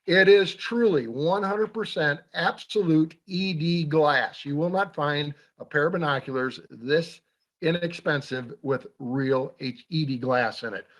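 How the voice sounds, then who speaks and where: Halting man hosting a hunting show. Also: fairly high pitch. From Iowa. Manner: halting, many fillers and restarts. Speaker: radio show host. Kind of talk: casual hunting talk show.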